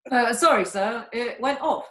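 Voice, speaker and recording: A woman's voice: on the high side, a little slow, fairly bright tone. A woman playing a shifty, deferential male butler in a comic amateur radio play, formal and hesitant. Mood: evasive. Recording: roomy internet call recording.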